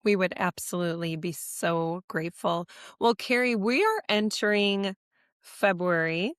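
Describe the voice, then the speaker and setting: Slightly hoarse woman co-host, gushing interviewer, smooth voice, dry close sound. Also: on the low side, animated, unhurried, no echo. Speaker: podcast co-host. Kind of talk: casual chat.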